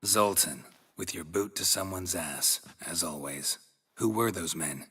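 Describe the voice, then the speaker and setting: Very deep, gruff monster hunter. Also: male voice, unhurried, full character voice. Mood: dry, wry. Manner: laconic, deadpan. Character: gruff stoic monster hunter, deadpan, dryly wry. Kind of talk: video game voice acting.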